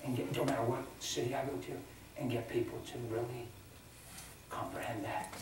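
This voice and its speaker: Older folksy preacher in echoey hall. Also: male voice, mid-range voice, normal speed. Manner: animated, folksy, swings from loud to hushed. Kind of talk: sermon. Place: large indoor livestock-arena church hall. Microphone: far camera. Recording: echoey hall from far off, ambient noise.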